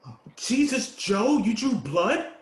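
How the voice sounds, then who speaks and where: Casting: male actor as credulous homeless listener, high-pitched, anxious, questioning, stage drama, roomy sound. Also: big pitch swings, slow, heavily acted. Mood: anxious, curious. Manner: credulous, inquisitive. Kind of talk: theatrical table reading of a stage play. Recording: echoey room with background noise.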